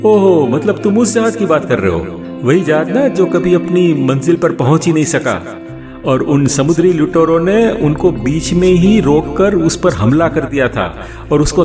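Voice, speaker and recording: A man's voice: fairly high pitch, expressive, measured pace, over music. A man playing a reassuring sailor and rescuer in an audio story, explaining things as he goes. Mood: sympathetic, amazed. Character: reassuring male sailor who rescues a castaway. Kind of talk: Hindi audio story acting.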